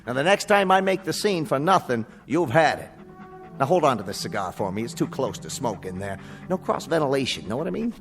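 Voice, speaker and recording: Brash wisecracking genie, cavernous reverb. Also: male voice, medium pitch, sing-song, fairly bright tone. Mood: exasperated, dismissive. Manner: streetwise, fast-quipping, dismissive. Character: brash, grumpy, wisecracking male genie with outdated hipster slang. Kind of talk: radio drama acting. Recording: long reverb, faint music behind.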